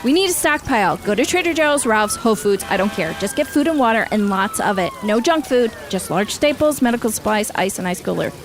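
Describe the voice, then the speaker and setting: A woman's voice: fairly high pitch, lively intonation, a little fast, few pauses, music in the background, natural voice. A woman playing a decisive, urgent survivalist neighborhood leader who gives orders in a radio drama. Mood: commanding.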